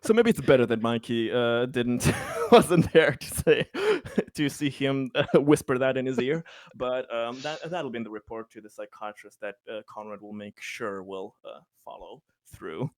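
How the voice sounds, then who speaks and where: Male tabletop player, close dry sound, halting and wordy, improvising in-character narration. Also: mid-range voice, no echo. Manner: halting, stammering, wordy delivery. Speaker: player in a tabletop roleplaying game.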